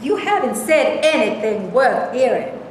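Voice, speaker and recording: A woman playing a sharp-tongued, sarcastic, independent woman delivering mocking jabs in a staged reading. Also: on the high side, very animated, a little slow. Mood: sarcastic, scornful. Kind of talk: staged reading of comic dialogue. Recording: echoey room, faint background noise.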